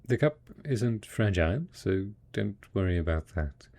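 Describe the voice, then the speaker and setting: A man playing a devoted, patient husband in an intimate post-apocalyptic audio roleplay, coaxing slowly and hesitantly in a soft, husky voice. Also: deep voice, animated, exaggerated voice. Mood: pleasantly surprised. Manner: slow, coaxing, hesitant, talking as if to a child. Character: devoted, patient husband tending zombie spouse after apocalypse.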